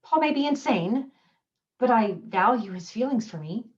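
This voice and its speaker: A woman acting out a stage monologue as a desperate wife clinging to her husband, with deadpan wit and nervous laughs. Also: a little high, normal speed, lo-fi sound, lightly acted. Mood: pleading. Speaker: community theater actress.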